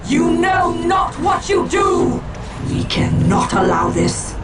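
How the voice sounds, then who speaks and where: Shrill, imperious alien commander. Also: female voice, big pitch swings, takes it slowly, heavily acted. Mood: desperate warning. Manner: shouting, urgent, haughty. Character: desperate, imperious alien Eldar woman commander. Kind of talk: dramatic video game cutscene acting. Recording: loud music under the voice.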